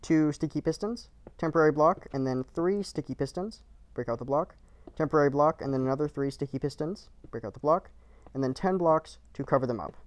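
Man calmly giving clipped build instructions. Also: a little high, a little fast, dry recording. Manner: terse, clipped instructions, calm and matter-of-fact. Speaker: game tutorial creator. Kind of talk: step-by-step build instructions over gameplay.

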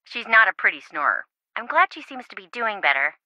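Very bright, animated, scatterbrained young woman. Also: medium pitch, fairly quick, no room sound, lo-fi sound. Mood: playful, excited. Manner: chatty, playful, melodramatic. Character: bubbly, scatterbrained, dramatic young female best friend. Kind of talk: video game phone-call dialogue.

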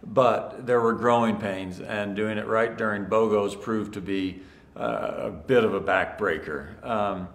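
Upbeat middle-aged man, low voice, roomy office. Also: measured pace, vocal fry. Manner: upbeat and congratulatory, some fillers. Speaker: company executive. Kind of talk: scripted-free video address to sales leaders. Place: large bright office with tall windows, indoors. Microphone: standing before a fixed camera, two-shot. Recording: echoey room.

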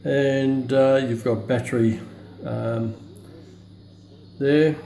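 A man giving a casual, unscripted product demonstration, halting and hesitant as he thinks aloud. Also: mid-range voice, fairly even intonation, creaky, warm tone. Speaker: radio reviewer. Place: indoor room in a home. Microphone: handheld phone camera. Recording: roomy phone recording with background noise.

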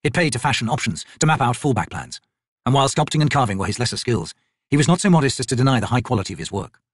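A man's voice: mid-range voice, sing-song, rapid-fire, slightly rough, dry recording, studio quality. A man reading scripted fantasy fiction as an audiobook narrator.

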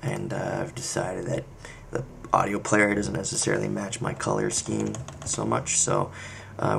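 A man giving a casual, unscripted software walkthrough, thinking aloud with hesitant pauses. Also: lowish voice, vocal fry. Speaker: software tutorial presenter. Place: indoor screen-recording voice-over. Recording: faint background noise.

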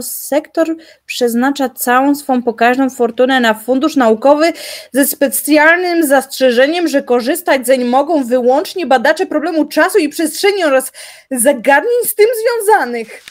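An actress playing a young woman who reads out grim newspaper notices in a deliberate, dramatic way. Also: high voice, lightly acted. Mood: solemn, intrigued.

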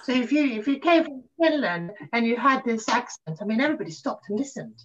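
A middle-aged woman reminiscing casually in an interview, telling stories in a halting, animated way. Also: on the high side, slight background noise. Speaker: interview guest, actor. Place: room at home, on a video call. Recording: muffled video call audio.

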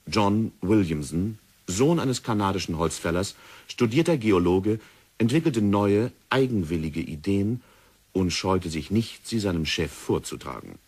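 Deep husky guy narrating an audio drama, formal and measured. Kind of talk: scripted radio drama narration.

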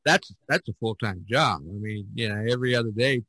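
A man hosting a casual podcast discussion, speaking haltingly and repeating words. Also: fairly low pitch, bright, forceful tone, no echo, low-quality recording. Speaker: podcast host and artist.

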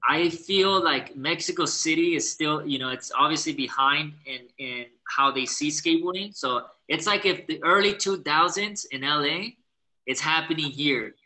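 Bright-voiced chatty young man. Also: fairly high pitch, moderate pace, rough recording. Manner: relaxed, chatty, frequent "like" and "you know". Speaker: guest, professional skateboarder. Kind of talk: casual interview answer. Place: indoor living room. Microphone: laptop webcam on a video call.

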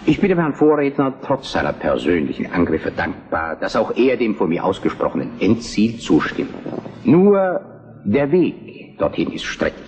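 A man's voice: on the low side, sing-song, normal speed, a little mellow, highs cut off. An actor playing a resolute, combative Prussian statesman in a historical radio drama, with formal, emphatic oratory. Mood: combative, declamatory. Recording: very noisy archival-sounding radio play.

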